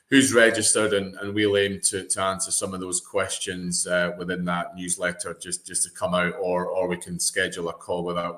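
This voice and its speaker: A man hosting an online interview over a video call, polite and halting, often repeating his words. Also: fairly low pitch, fairly bright tone. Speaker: webinar host. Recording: roomy echo.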